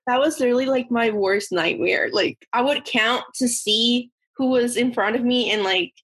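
Slightly high, husky young woman. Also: moderate pace, a bit bright. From Mexico. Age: 20s. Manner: hesitant, with ums. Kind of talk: casual chat.

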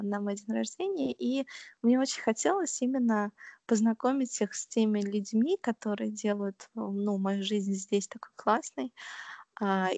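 A young woman speaks in a relaxed interview, hesitating often and filling pauses as she thinks. Her voice has a gravelly, creaky edge. The recording is dry and close. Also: a little high, no room sound. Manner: thoughtful, hesitant, many fillers. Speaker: interview guest. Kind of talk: casual conversational interview.

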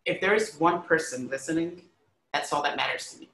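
A man's voice: fairly high pitch, fairly quick, sharp tone, reverberant, a little hiss or hum, rough recording. A man from Honduras talking earnestly and emphatically in a conversational interview, telling personal stories and often saying "like" and "you know". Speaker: arts critic and educator, podcast guest.

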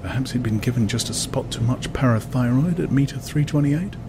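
A man narrating a novel as a dramatized audiobook in a measured, deliberate way. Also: medium pitch, background noise.

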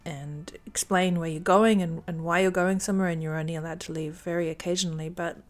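A woman in her thirties hosts a relaxed remote conversation, her voice sitting a touch low. She talks freely, with plenty of ums and easy laughs. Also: fairly quick. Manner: chatty, laughs easily, many ums. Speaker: podcast host. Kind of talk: casual chat.

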